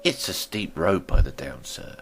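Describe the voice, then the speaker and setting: A man's voice: low voice, sing-song, moderate pace, creaky, slight background noise, exaggerated voice. A man voicing a chatty, nosy, deferential country landlady in a storybook reading, with folksy rustic talk that rambles and fusses.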